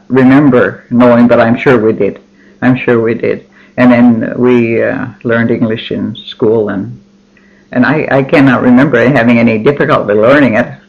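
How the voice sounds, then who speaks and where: An older man whose first language is Finnish, recalling his childhood slowly in an oral history interview, with many pauses and restarts. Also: mid-range voice, vocal fry. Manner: slow, halting, many ums and restarts. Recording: dull lo-fi recording.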